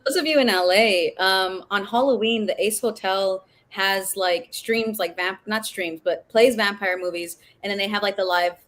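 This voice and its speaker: A woman's voice: mid-range voice, moderate pace, hardly any pauses, fairly bright tone. A woman actor chatting casually as a guest in an interview. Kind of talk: casual chat.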